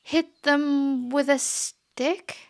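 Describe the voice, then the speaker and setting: A woman's voice: high-pitched, fairly even intonation, drawn-out words, vocal fry, a little mellow, full character voice. A woman playing a spirited, defiant young princess in a fantasy audio drama, easily flustered, with an indignant, hesitant delivery. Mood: wary, confused.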